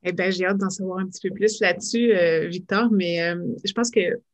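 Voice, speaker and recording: A woman's voice: lowish voice, fairly even intonation, normal speed. A woman, hosting a virtual conference and speaking with frequent filler hesitations. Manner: friendly, many filler "euh"s. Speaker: host and moderator. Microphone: video call.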